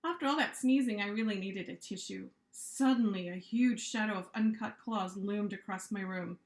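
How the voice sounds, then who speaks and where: Female children's author for expressive picture-book narration, mid-pitched voice, recorded in a roomy space. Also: normal speed. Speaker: author reading aloud. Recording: echoey room.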